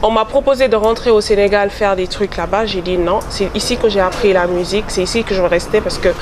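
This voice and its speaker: A young woman singer, answering interview questions in a relaxed, chatty way and laughing easily. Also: mid-range voice, fast talker. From Guinea. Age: young adult. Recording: outdoor recording, traffic noise behind.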